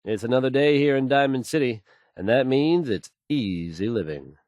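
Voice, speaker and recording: An actor playing a confident radio DJ in a game, announcing with polished, assured delivery. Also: male voice, medium pitch, animated, moderate pace, a little mellow, dry recording, clean studio sound, natural voice. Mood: confident, easygoing. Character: confident, smooth male radio DJ and newsreader. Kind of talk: radio song introductions and news reading.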